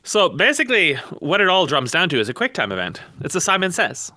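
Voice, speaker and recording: Male podcast host, scornful and emphatic, very sharp bright tone, lively pitch, dry close sound. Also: brisk, no room sound. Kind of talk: opinionated podcast commentary.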